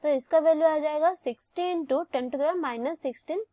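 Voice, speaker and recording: A woman with an Indian accent and a high voice works through a lesson as a teacher. She goes slowly and methodically, asking a question and then answering it herself. The sound is close and dry. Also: dark tone, no echo. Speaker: physics teacher. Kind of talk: step-by-step lesson, explaining a worked problem.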